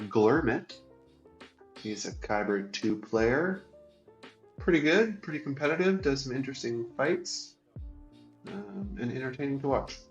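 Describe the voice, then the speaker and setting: A man's voice: medium pitch, echoey room, lo-fi sound. A man hosting a solo game-strategy show, talking casually and stuffy from a cold, with many ums and restarts. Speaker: show host and competitive player.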